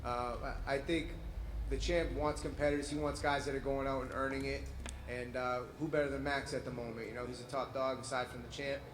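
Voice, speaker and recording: Fast-talking fighter, echoey noisy room. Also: male voice, medium pitch, flat delivery. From New England. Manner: casual, swears freely, says man a lot. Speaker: fighter and promoter. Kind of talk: press scrum answers. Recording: echoey room with loud crowd noise.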